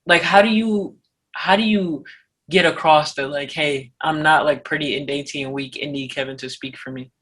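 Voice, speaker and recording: A woman co-hosts a casual podcast. Her voice is low enough that people often take it for a man's, but its tone is bright and lively. She talks hesitantly and restarts her sentences often. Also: moderate pace, slightly rough. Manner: hesitant, many restarts and fillers. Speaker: podcast co-host.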